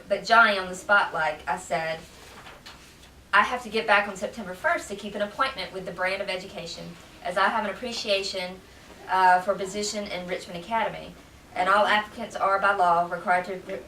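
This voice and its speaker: Woman, bright voice, mid pitch, dramatic letter reading, echoey room, distant microphone. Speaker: reader at a public library event. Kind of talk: dramatic reading of old love letters aloud. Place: library room, reading to a small gathering. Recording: roomy, distant event recording with faint noise.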